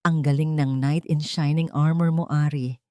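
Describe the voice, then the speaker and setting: Woman voicing a low, taunting female rival. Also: fairly even intonation, normal speed, warm tone, no room sound, studio quality, lightly acted. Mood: mocking, threatening. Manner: mocking, whispering threats. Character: menacing young woman rival taunting with a secret.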